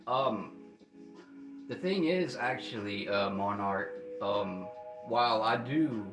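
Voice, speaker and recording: Halting man with slight Irish lilt. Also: on the low side, animated. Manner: halting, many restarts, profane. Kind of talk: casual livestream chat. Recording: roomy echo with guitar music behind. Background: guitar music.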